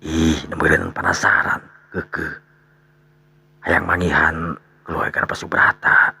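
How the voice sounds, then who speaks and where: A man voicing a resigned, secretive older father in a radio drama, muttering and sighing in a gravelly, breathy voice. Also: rumbling low, flat delivery, unhurried, sharp tone, full character voice. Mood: reluctant, secretive. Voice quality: gravelly, breathy, dropping to near whisper. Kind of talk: radio storytelling drama dialogue.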